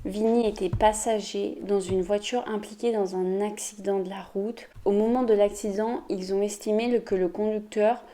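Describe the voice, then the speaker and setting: Warm-voiced woman telling a story. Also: mid-range voice, steady tone, moderate pace, hardly any pauses. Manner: chatty, addresses viewers directly. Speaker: YouTuber. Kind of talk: storytelling vlog.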